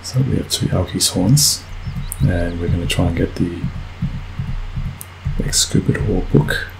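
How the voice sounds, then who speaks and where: Croaky low-voiced man with a cold. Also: a little slow, soft background music, rough recording. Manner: rambling, self-correcting, frequent fillers. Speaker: let's play gamer. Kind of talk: casual gameplay commentary.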